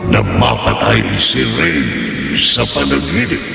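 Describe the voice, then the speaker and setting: A man narrating a horror drama in a dramatic, ominous, drawn-out way. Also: medium pitch, expressive, vocal fry, bright, forceful tone. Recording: heavy echoing reverb. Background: music.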